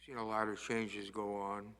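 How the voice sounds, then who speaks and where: Older man, flat low delivery. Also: normal speed, smooth. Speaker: village commissioner. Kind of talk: informal self-introduction at a meeting.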